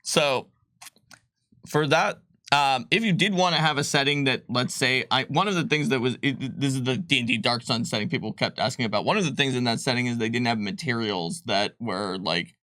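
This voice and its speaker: A man co-hosting a game design live stream, talking casually with many pauses and fillers. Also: mid-range voice, no room sound. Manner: relaxed, hesitant, many fillers.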